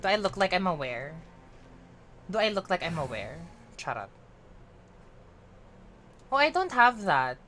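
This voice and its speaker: A woman's voice: lowish voice, very animated, measured pace, long pauses, a bit bright, slight background noise. A woman with a Filipino accent streaming a game, chatting playfully in a mix of English and Filipino and teasing herself. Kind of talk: casual livestream chat while gaming.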